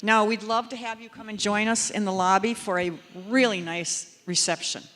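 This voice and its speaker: Man, high voice, brisk moderator, distant in a reverberant hall. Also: fairly even intonation, pushed and bright. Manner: brisk, hurried announcing. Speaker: event moderator. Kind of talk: wrapping up a Q&A.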